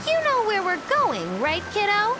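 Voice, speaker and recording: A woman's voice: extremely high pitch, huge pitch swings, a little slow, over loud music, heavily acted. A woman playing a perky, cheeky little companion in a fantasy video game. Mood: chirpy. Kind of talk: English-dubbed fantasy game acting.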